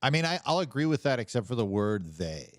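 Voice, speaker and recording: A man in a casual livestream conversation, talking in a halting, rambling way with some swearing. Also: medium pitch, big pitch swings, dry recording, clean studio sound.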